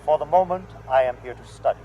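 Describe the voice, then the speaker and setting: An actor playing a coldly logical, superior alien humanoid robot with precise, formal diction in 1950s radio style. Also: male voice, a little high, quick pace, close to an everyday voice. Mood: coolly menacing. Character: haughty male humanoid robot from another planet, coldly logical. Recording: dull, noisy vintage 1950s radio recording.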